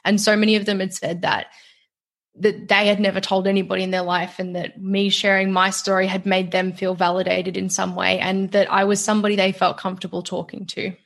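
A woman's voice: medium pitch, flat delivery, a little fast, creaky, a bit bright. An Australian woman, a young adult, talking openly and earnestly as a guest on a podcast interview. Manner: talkative, earnest, open.

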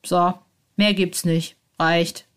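A woman's voice: lowish voice, steady tone, slow, faint music behind. A woman hosting a podcast, talking casually and gushing with enthusiasm. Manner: gushing, informal. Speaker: podcast host and interviewer.

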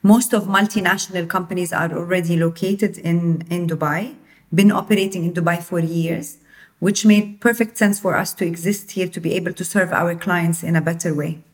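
A woman's voice: fairly low pitch, steady tone, normal speed. Woman from Jordan explaining her work. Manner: explanatory, uses filler "like" often. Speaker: company founder, guest. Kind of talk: interview conversation.

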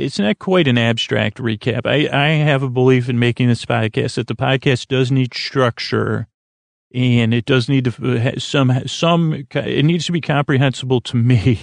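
A man hosting a bedtime podcast in a meandering, halting monologue, often stammering and correcting himself, with a gravelly, creaky voice. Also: medium pitch, no echo. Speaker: podcast host. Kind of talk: rambling bedtime-story monologue to help listeners sleep.

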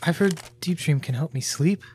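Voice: medium pitch, normal speed, darkish tone, a little hiss or hum, lightly acted. An actor playing a stammering, anxious customer. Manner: stammering, hesitant. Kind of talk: audio drama acting.

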